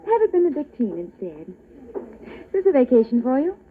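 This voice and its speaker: An actress playing a cool, witty femme fatale in a 1940s radio drama, with a dry, teasing, sophisticated manner. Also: female voice, high-pitched, big pitch swings, fairly quick. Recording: tinny lo-fi old radio broadcast.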